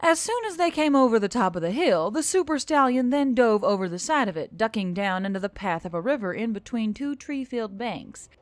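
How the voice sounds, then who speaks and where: A woman reading scripted fiction as an expressive audiobook narrator. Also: a little high, fairly quick, hardly any pauses, no room sound. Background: music.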